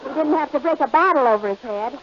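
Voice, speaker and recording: A woman's voice: sits high, lively intonation, fast, background noise. A woman playing a wry, wisecracking woman in an old radio comedy. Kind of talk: 1930s radio comedy acting. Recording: muffled old radio, music behind.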